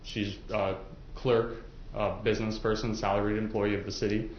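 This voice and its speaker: Guy lawyer chatting politely with jurors, lowish voice, dull roomy court audio w faint noise. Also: level tone, moderate pace. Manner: polite, conversational, hesitant phrasing. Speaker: defence lawyer questioning jurors. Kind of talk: informal courtroom questioning. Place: indoor courtroom.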